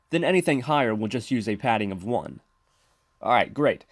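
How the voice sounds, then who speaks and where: A man's voice: medium pitch, no echo. A man giving scripted instructional narration as a tutorial presenter, explaining methodically step by step. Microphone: close mic, screen-recording voice-over.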